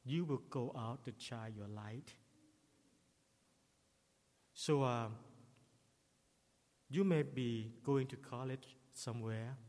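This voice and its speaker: Slow, measured middle-aged man preaching. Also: medium pitch. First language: Thai. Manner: slow, measured delivery with non-native English phrasing. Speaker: pastor. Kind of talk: sermon. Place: church. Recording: long reverb of a church hall.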